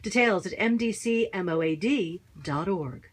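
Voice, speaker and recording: A woman's voice: medium pitch, moderate pace. Woman reading a scripted radio promo. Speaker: announcer.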